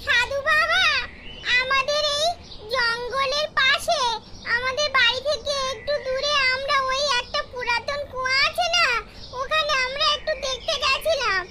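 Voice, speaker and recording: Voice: very high voice, fairly even intonation, a little slow. A performer voicing a small, earnest, scared village child in a horror cartoon. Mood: pleading. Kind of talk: cartoon horror story acting. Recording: echoey, distant, loud background noise.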